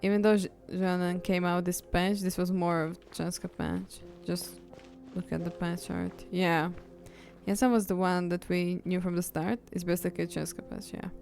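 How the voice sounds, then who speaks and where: Lower-pitched woman streamer chatting. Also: flat delivery, normal speed, vocal fry, a little mellow. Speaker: game streamer. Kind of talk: casual livestream chat and reaction. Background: game music.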